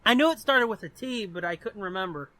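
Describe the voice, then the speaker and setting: A man's voice: high voice, a little fast, dry recording, low-quality recording. A man hosting a podcast in casual chat, explaining things in a blunt, sweary way. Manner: profane, blunt, animated explaining. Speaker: podcast host.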